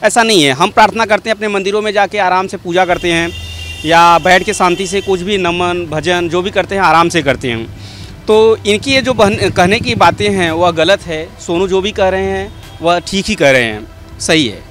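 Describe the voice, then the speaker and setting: A man's voice: high voice, normal speed, a bit bright, faint music behind. A man with an Indian accent giving an insistent, repetitive opinion in a street interview. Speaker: member of the public giving opinion. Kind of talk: vox pop opinion.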